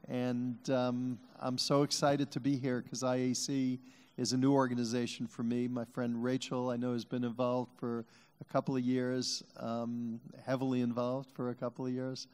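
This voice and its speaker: Male lawyer, 50s, flat delivery, hesitant fillers, reverberant hall. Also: mid-range voice, normal speed. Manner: self-deprecating, many ums and uhs. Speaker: law firm partner, panelist. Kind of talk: conference panel talk. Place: large conference hall.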